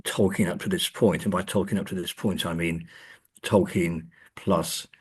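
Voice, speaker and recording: Man, low husky voice, academic interviewee, halting, thoughtful repetitions, conversational. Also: fairly even intonation. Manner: hesitant, halting, repeats words while thinking. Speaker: academic guest.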